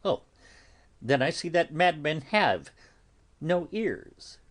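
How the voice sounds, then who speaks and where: Shakespeare audio drama: exasperated holy friar played by a man, breathy husky voice, drawn-out deliberate phrasing, highly varied intonation. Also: very animated, full character voice. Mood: firm counsel. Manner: slow, deliberate, dramatic, dropping into hushed breaths. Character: stern, exasperated male friar counselling a youth. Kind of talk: classical stage tragedy in audio.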